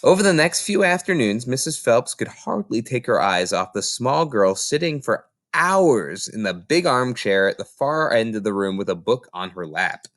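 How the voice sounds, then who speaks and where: A man reading a children's novel aloud as an expressive narrator. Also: mid-range voice, moderate pace, hardly any pauses, dry recording, studio quality. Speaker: reader.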